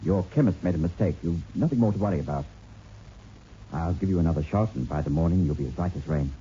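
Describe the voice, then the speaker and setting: A calm, authoritative village doctor in a vintage radio play. He reassures in a low, very dark voice on a dull old recording. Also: slight background noise. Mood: reassuring. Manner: brisk, soothing, authoritative. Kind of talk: 1960s radio drama acting.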